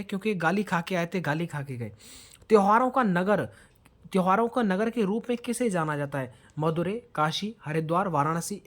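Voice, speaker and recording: A man teaching an exam-prep lesson in quiz style, prompting listeners with questions and mixing in English words. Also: high voice.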